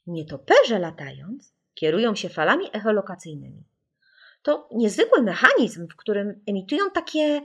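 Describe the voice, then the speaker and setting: A woman voicing a calm, caring mother who gently and patiently explains things to children in an audiobook. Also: mid-range voice, husky, heavily acted.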